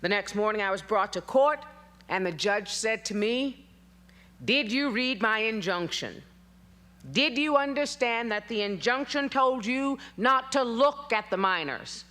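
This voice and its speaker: Feisty old union organizer, high and bright. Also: female voice, lively intonation, unhurried. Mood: rousing, defiant. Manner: forceful, declamatory, wry. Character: feisty, defiant elderly female union organizer rallying miners. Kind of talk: dramatic reading of a historical rally speech.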